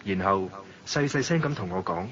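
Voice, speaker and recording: An actor playing a suave, worldly gentleman narrating and talking in a radio drama, with a Hong Kong Cantonese accent. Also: low-pitched, animated, moderate pace. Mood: reflective, measured. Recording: dull lo-fi audio, noisy background.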